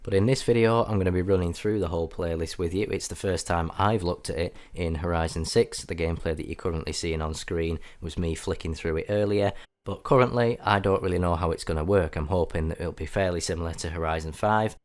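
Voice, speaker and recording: A man with a slightly croaky voice from a cold, giving a chatty, upbeat voiceover commentary over gameplay as a gaming channel host. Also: low-pitched, fairly quick. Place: voiceover recorded apart from the gameplay.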